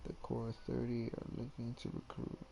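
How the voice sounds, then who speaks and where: A man giving casual live gameplay commentary as a streamer, halting and slangy in his delivery. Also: medium pitch, completely flat delivery, gravelly, dark tone, slight background noise. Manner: halting, slangy, muttering while reading. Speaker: video game streamer.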